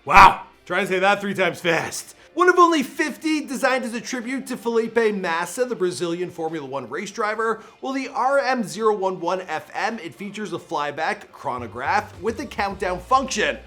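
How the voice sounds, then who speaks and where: A man's voice: high voice, sing-song, moderate pace, few pauses, sharp tone. A man with a Toronto accent hosting a countdown video in a jokey, slangy, punchy way. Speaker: host. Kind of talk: energetic countdown commentary.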